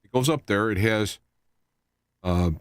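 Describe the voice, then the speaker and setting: A man hosting a live talk show, rambling in a halting way as he wanders from point to point. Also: lowish voice, clear, resonant tone, dry recording, studio quality. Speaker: radio show host.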